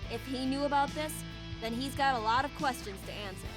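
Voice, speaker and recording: Woman, game voice role, calm, high pitch, music behind. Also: a little fast. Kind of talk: voice acting in a game.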